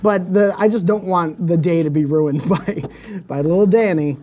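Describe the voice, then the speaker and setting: A man's voice: sits high, normal speed, darkish tone. A man improvising a casual phone chat as a prank caller, rambling, apologetic and self-deprecating. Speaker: prank caller on a comedy show. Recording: music playing behind.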